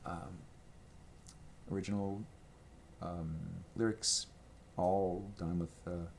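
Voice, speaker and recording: A man with a lowish voice chats to a camera in his home, showing off items he owns. He wanders from point to point, restarts his sentences and fills the gaps with ums and you-knows. Faint room ambience sits under his voice. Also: little pitch movement, slow, a little mellow, slight background noise. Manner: chatty, meandering, many fillers and restarts. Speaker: vlogger showing his merch. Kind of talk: rambling casual show-and-tell. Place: home room with gray walls and a red accent wall. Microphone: camera microphone, speaking to camera at a table.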